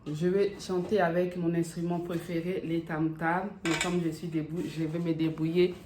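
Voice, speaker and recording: A woman's voice: lowish voice, little pitch movement, moderate pace, a little mellow, reverberant, a little hiss or hum. A woman from Africa giving emphatic family advice to camera and checking in with her listeners. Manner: emphatic and preachy, checks in with "d'accord?"; ends with blessings in a sermon-like cadence.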